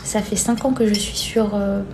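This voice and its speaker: A woman's voice: mid-range voice, steady tone, normal speed, background noise. A young woman hosting a live stream, chatting casually as she reads out and answers viewer comments in an informal, hesitant way, often repeating herself. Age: young adult.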